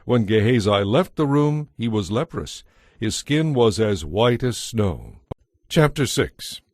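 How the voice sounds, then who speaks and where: A man's voice: on the low side, expressive, slow pace, no room sound. A man narrating a dramatized audio Bible as a scripted story, recorded close. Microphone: close, dry studio microphone. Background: faint music.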